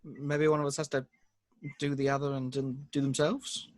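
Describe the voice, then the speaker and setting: A man playing a defeated, self-blaming young man under zombie siege in a table read, halting and exasperated. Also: mid-range voice, little pitch movement, no room sound, natural voice. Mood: grim, hesitant.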